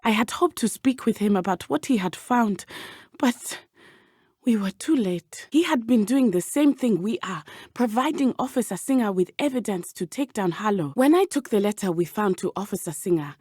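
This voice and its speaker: Brave teen witch girl from a video game, lively pitch, careful formal wording, dry close sound. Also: husky, no echo. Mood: serious, determined. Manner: precise, measured, formal diction. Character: earnest, brave teenage schoolgirl witch from Uganda. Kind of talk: video game character dialogue.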